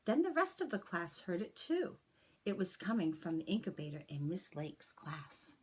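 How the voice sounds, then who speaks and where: A woman reading a children's picture book aloud like a teacher, with expressive, deliberate phrasing and personal asides to young listeners. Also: lowish voice, breathy edge.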